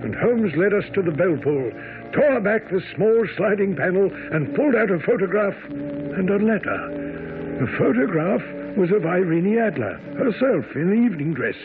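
An actor playing a genial Victorian gentleman companion in a radio drama, with expressive, old-fashioned storytelling diction. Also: high voice, normal speed, music in the background. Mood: narrating. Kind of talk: radio drama narration and dialogue. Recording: tinny, narrow-band vintage radio broadcast.

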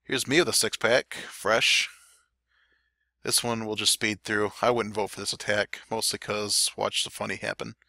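Male game commentator, casual play-by-play, slightly dry throat, bright tone, dead-dry recording. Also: fairly low pitch, moderate pace, vocal fry, no room sound. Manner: relaxed, chatty play-by-play. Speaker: clan war recap commentator. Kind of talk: gameplay commentary over replays.

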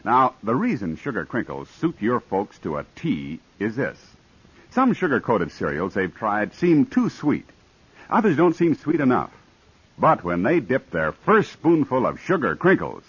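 A man announcing in an upbeat 1950s radio sales style. His husky voice is recorded dry, and he rises and falls in a lively way. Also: lowish voice, a little slow, no room sound, rough recording. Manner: smooth, upbeat sales patter. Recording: dry old radio broadcast.

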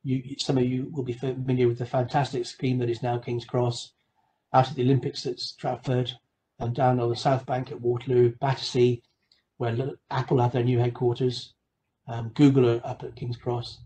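A man giving an explanatory slide lecture over a video call, measured, with emphatic phrase openings. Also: mid-range voice, level tone, mellow, low-quality recording. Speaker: guest lecturer presenting a webinar.

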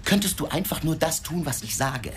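An actor playing a stern, impatient newspaper boss in a radio drama, curt and commanding. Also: male voice, on the high side, animated, brisk, a little hiss or hum, close to an everyday voice.

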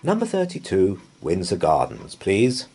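A man's voice: low-pitched, huge pitch swings, unhurried, warm tone, breathy edge, full character voice. Guy reading as polite nervous husband, storybook, very up and down intonation. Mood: obliging. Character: mild, hesitant, courteous married man.

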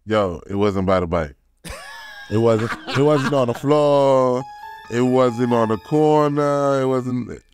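A man's voice: medium pitch, takes it slowly, darkish tone, faint music behind. A man in casual studio conversation, chiming in with short, repeated phrases to back up a story. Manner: interjects, echoes short phrases. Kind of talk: casual chat. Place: small studio with foam panels.

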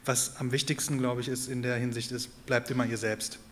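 A man's voice: mid-range voice, little pitch movement, brisk, slight background noise. A man on a theater stage encouraging the nominees with a short pep talk. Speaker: guest presenter at awards. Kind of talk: stage speech.

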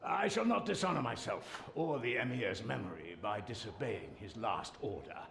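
An actor playing a crafty, stern royal spymaster in a video game, speaking formally and deliberately. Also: male voice, fairly low pitch, very animated, creaky, a bit bright, reverberant, slight background noise, full character voice. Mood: grave, insistent. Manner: formal, deliberate, measured diction. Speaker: spymaster character.

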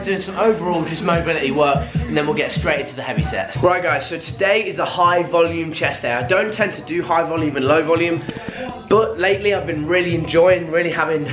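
A British man in his early twenties talking casually and enthusiastically as a fitness vlogger, explaining a workout to camera. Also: a little high. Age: early 20s. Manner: enthusiastic, informal. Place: small brick garage home gym, indoors. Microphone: camera at arm's length or propped nearby. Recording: echoey small room, music behind. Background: music.

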